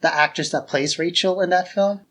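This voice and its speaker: A man's voice: sits high, steady tone, moderate pace, fairly bright tone. Informal man chatting on a film podcast. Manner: informal, frequent filler "like". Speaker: podcast co-host. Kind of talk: casual conversational film discussion.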